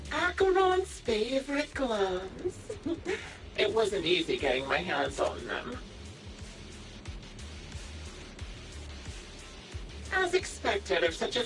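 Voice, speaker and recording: A sadistic anime game villainess who toys with her prey. Her delivery is slow and mocking, swinging widely in pitch, and it sounds slightly distant beneath clear music. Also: sing-song. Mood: mock admiration. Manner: mocking, teasing, drawn-out delivery. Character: sadistic, theatrical villainess taunting a young sniper.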